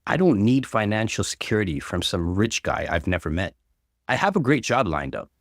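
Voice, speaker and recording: A defiant young woman acted out by a male narrator in a dramatic story reading. The delivery is emphatic and exclaiming, with wide swings in pitch and a dry, close sound. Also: big pitch swings, moderate pace, creaky, no echo. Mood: indignant. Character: defiant, upset young woman fighting for independence.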